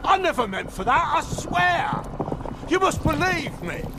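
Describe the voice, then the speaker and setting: An actor playing a desperate, guilt-ridden village mayor in a fantasy game, pleading and halting in anguish. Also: male voice, extremely high pitch, big pitch swings, background noise, full character voice. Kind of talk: dramatic video game acting. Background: loud dramatic music.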